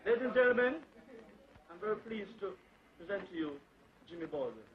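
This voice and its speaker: A man with a high, lively and very raspy voice formally introduces a speaker to an audience. The recording is tinny and thin, heard from a distance. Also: brisk. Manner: formal, announcing. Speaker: event moderator.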